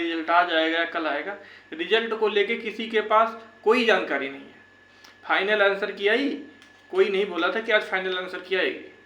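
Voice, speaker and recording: High-pitched young man, echoey room. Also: pushed and bright, highs cut off. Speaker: YouTube channel host for exam candidates. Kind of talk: informal advisory talk to viewers. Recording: echoey room with faint background noise.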